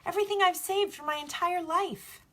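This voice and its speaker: A frightened young shepherd boy from a storybook, acted out by a woman reader. The voice lifts higher, with an anxious, pleading edge. He protests like someone out of his depth. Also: moderate pace, bright, forceful tone. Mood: distressed, indignant. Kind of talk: storybook read-aloud.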